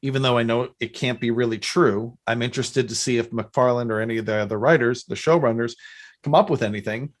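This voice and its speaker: A man's voice: mid-range voice, steady tone, a little fast. A man hosting a podcast in casual fan chat, rambling and often cutting into his own sentences. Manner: rambling, self-interrupting. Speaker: podcast host.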